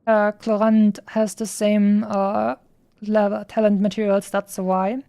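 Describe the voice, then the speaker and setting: A woman's voice: mid-range voice, level tone, slow, gravelly, mellow, clean tone, no room sound. A woman streamer giving casual, chatty gameplay commentary, rambling and thinking aloud as she goes.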